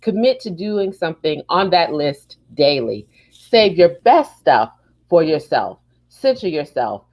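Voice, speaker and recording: Woman podcast host, lowish voice that swoops around a lot, chatty and emphatic. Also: big pitch swings, a little slow, a little mellow. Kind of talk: casual live podcast chat with reading aloud.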